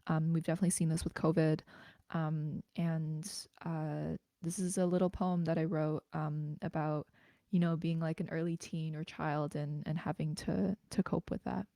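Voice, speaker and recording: A woman with a fairly low voice, recorded dry and close. She is a spoken word poet sharing her work at a showcase. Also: flat delivery, moderate pace, vocal fry, dark tone, no room sound. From Canada, Greater Toronto Area. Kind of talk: spoken word poetry performance with casual introductions.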